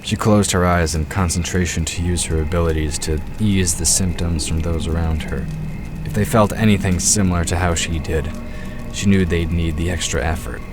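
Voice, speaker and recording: Very deep male story narrator over music. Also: fairly even intonation, normal speed. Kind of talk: scripted fantasy story narration.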